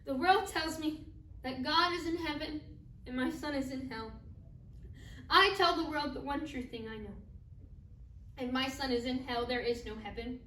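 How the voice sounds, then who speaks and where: A woman's voice: high voice, normal speed, pauses often, sharp tone. A woman performing a stage monologue as a devout, grieving mother, speaking solemnly in emphatic short phrases. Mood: defiant, sorrowful. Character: grieving, devout, defiant mother of a dead son. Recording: roomy, distant mic, faint noise.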